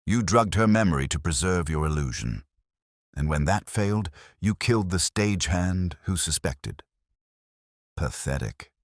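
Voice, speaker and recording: A stern, brilliant detective , acted out by a man, pronounces judgment in formal, measured phrases. The voice is extremely low with expressive swings in pitch, weary and commanding, in a detective audiobook. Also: no room sound, clean studio sound. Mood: weary disdain.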